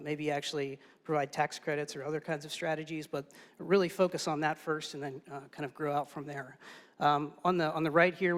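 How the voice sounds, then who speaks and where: Male presenter, slightly high, monotone, filler-heavy, in a reverberant hall. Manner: steady, lots of "uh" and "kind of". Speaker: urban design presenter. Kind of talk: presentation to an audience.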